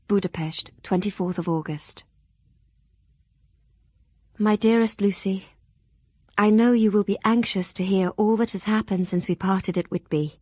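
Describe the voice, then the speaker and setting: An actress plays a devoted young Victorian woman in an audio drama, confiding in her journal and letters. She sounds earnest and tender, with a medium-pitched voice. The sound is close and dry. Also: darkish tone, dry recording, close to an everyday voice.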